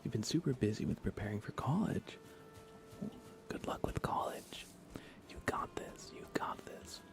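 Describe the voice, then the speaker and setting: A man hosting an ASMR gaming livestream, speaking in a breathy, hushed, reassuring whisper with some vocal fry. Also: lowish voice, animated, measured pace. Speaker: livestream host.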